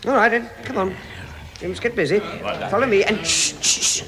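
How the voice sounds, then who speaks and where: An actor playing an anxious but bossy little hobbit in a vintage radio drama, stammering and fussing urgently. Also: male voice, high-pitched, a little fast, a crowd in the background, close to an everyday voice. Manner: fussy, stammering, urgent hushing.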